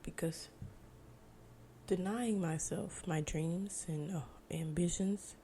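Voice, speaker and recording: A young American woman with a dark, mellow voice sitting a little low. She talks casually and reflectively, as a podcast host chatting alone to listeners. There is some noise behind her. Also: fairly even intonation, slow. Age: 20s. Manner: informal, reflective, conversational. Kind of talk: casual solo podcast monologue. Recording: noticeable background noise.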